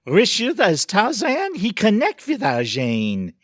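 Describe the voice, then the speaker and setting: A man playing a proud commercial director, speaking deliberate broken English in a comic audio play. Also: high voice, wildly swooping pitch, fairly bright tone, dry recording, clean studio sound, exaggerated voice. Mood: insistent. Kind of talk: comic audio theatre acting.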